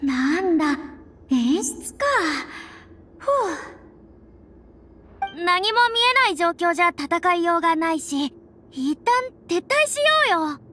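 A woman's voice: extremely high pitch, very animated, slow pace, pauses often, slight background noise, full character voice. An actress playing a theatrical, easily frightened young girl with dramatic, boyish phrasing in an anime game. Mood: relieved, sulky. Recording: loud game music behind.

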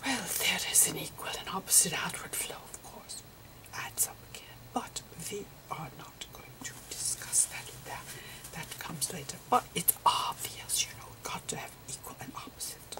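Woman acting eccentric old male professor, hushed ASMR whisper, unhurried halting lecture, lilting intonation. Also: fairly low pitch, lively intonation, distant mic, low-quality recording. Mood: patient, musing. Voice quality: breathy whisper. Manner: halting, repetitive, patiently explaining. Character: elderly male eccentric physicist channelling Einstein, gentle lecturer. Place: indoors at a slate chalkboard.

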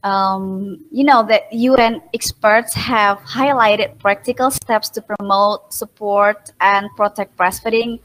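A woman lecturer from Indonesia with an Indonesian accent, giving a conference talk over a video call in a halting, polite way, with many fillers and restarts. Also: medium pitch, fairly even intonation, a bit bright, poor audio. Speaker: midwifery lecturer and researcher. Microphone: laptop webcam mic on a video call.

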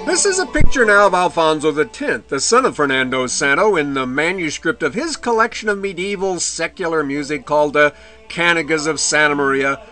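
Bright-voiced male history lecturer. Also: fairly high pitch, expressive. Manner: measured, with wry asides. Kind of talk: illustrated history lecture narration. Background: faint medieval music.

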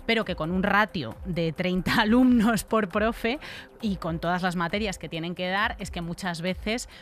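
A woman's voice: fairly low pitch, normal speed. A middle-aged woman with a Castilian accent from Spain, commenting on the news in an informal, colloquial way as a podcast co-host. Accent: Castilian Spanish from Spain. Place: radio-style podcast studio. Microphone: close studio microphone on desk.

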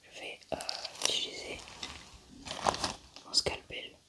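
A young woman whispers breathily and haltingly in an ASMR roleplay, murmuring step-by-step commentary as a scientist. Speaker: ASMR roleplayer playing a scientist examining samples.

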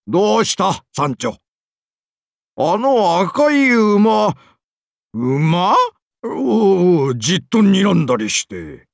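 An excitable old game knight, with a gravelly, husky voice. He speaks slowly and grandly, full of courtly reverence, and his pitch swoops about. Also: no echo, studio quality, heavily acted. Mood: genial, reverent. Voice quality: creaky, husky. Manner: pompous, reverent, theatrical. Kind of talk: game character acting.

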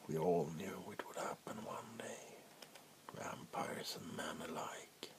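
An ancient vampire warlord acted by a man whispers in a low, breathy ASMR roleplay voice. He speaks extremely slowly and deliberately. He is cold, power-hungry and menacing, commanding without ever needing volume. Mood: grim, weary. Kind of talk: soft-spoken ASMR roleplay monologue. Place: small wood-panelled room indoors.

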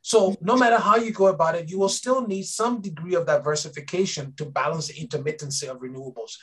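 A middle-aged man from Liberia, speaking in a measured way on a video call from a home office as interviewer. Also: on the high side, fairly bright tone, breathy edge. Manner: measured, repeats words when starting a point. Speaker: interviewer and energy advisor.

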